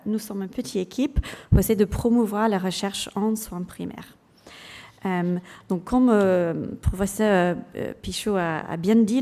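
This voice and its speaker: A woman's voice: medium pitch, dark tone. English-accented woman lecturing in a hall. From England. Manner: engaging, polls the audience, hesitant fillers. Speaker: general practitioner and clinical lecturer presenting. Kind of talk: conference presentation.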